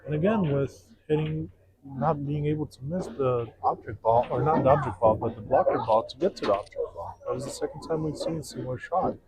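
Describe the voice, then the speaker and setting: A man's voice: medium pitch, a little fast, poor audio. A man whose first language is Bulgarian, commentating pool with dry teasing and shot analysis. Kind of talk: casual commentary chat. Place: pool hall. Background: crowd chatter.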